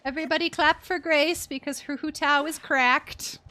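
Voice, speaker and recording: High, bright young woman streaming games. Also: normal speed. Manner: expressive, playful, exclaims and chants little words. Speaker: game streamer. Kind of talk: casual live game commentary and chat.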